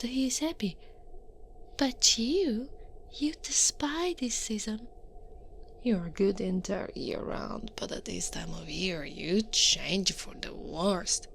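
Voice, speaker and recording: An Italian woman acts out a towering female Krampus for an ASMR roleplay. She whispers breathily and very slowly, stern but soothing, coaxing with quiet menace. Faint ambient noise sits behind the voice. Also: medium pitch. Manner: slow, deliberate, coaxing and menacing. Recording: noisy ambient background.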